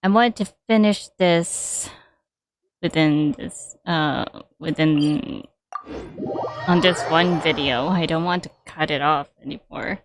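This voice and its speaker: A woman's voice: sits low, slow, no room sound. A woman giving casual commentary as she plays through a game. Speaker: gaming streamer. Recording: faint background noise.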